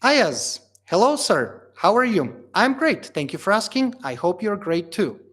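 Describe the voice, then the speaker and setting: Male founder, slightly high voice, relaxed livestream Q&A host, filler-heavy answers. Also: a little slow. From Bulgaria. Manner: casual, reads questions aloud then answers with many fillers. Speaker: company founder hosting a livestream Q&A. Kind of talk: reading out and answering viewer questions.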